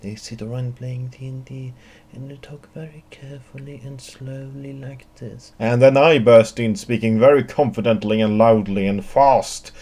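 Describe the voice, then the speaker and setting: A man's voice: mid-range voice, darkish tone. A man with a Swedish accent answering viewer questions alone in a dry, wry, wordy monologue. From Sweden. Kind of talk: solo Q&A monologue.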